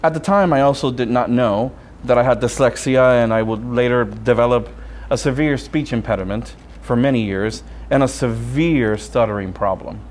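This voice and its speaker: A man, a Spanish speaker, preaching a sermon as a friar with personal storytelling, in deliberate phrases broken by pauses. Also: mid-range voice, a little mellow, slight background noise. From Puerto Rico. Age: 30s.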